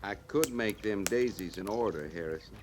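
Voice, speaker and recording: An actor playing a dry, wry cavalry sergeant, laconic and folksy, with a rural western American accent in old radio drama style. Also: male voice, on the low side, normal speed, noisy background, natural voice. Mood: dry, commanding.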